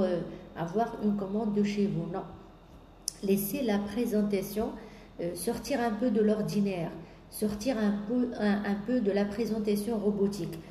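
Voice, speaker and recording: Woman sales coach, mellow tone, lots of euh pauses, echoey room w faint hiss. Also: mid-range voice. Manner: hesitant, many drawn-out "euh" fillers, repetitive lists. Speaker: business coach. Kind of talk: motivational sales coaching talk.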